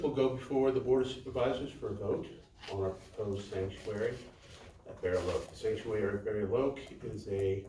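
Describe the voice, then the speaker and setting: A man addresses a community meeting as guest speaker, greeting neighbors by name. His voice sits a little low and comes from a distance. The hall around him echoes noticeably. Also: a little mellow, a little hiss or hum. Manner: friendly, greets people by name. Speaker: guest speaker, winery owner. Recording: echoey hall, far from the mic.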